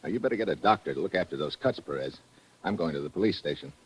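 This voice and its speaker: An actor playing a tough, wry American correspondent investigator in a brisk, hard-boiled 1950s radio drama style. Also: male voice, low-pitched, expressive, over loud music. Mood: wry, probing. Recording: dull, noisy old radio recording.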